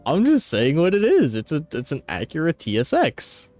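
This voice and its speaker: A man's voice: fairly high pitch, wildly swooping pitch, normal speed, a little mellow. A man doing casual, profanity-laced live game commentary and banter, with a blunt, teasing, slangy manner. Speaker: game streamer. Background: music.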